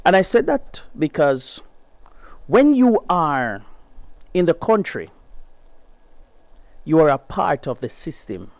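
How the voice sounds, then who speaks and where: Earnest Jamaican man, lively radio host. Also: takes it slowly, long pauses, no echo. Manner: earnest, emphatic, rises in pitch to stress points. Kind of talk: reading an article, then preaching commentary on radio.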